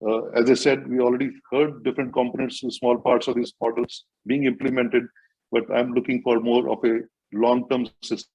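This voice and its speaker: A man giving a workshop presentation over a video call, pausing often with fillers. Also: medium pitch, flat delivery, darkish tone, slightly rough. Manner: hesitant, many fillers. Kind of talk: online presentation. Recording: muffled video call audio.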